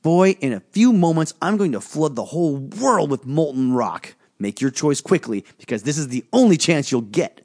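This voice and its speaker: Brief: a sing-song, wheedling volcano spirit, lively and swooping, done by a male narrator. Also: on the high side, moderate pace, hardly any pauses, pitched above the performer's own voice. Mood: coaxing. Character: coaxing male renegade volcano spirit, menacing. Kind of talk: audiobook character voice.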